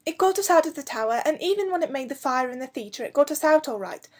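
A woman's voice: sits high, expressive, fast, pitched above the performer's own voice. A woman voicing a forlorn but trusting young boy in a storybook. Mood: hopeful insistence.

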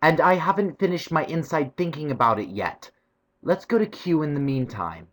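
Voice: mid-range voice, lively intonation, normal speed, voice pushed up, natural voice. A performer voicing a pensive, slightly grumpy British schoolboy, the eldest brother in a storybook. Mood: huffy. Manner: thoughtful, mildly huffy.

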